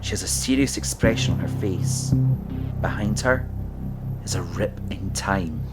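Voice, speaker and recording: A man narrates an audio drama in scripted style. His voice sits low and moves slowly, with music playing behind it.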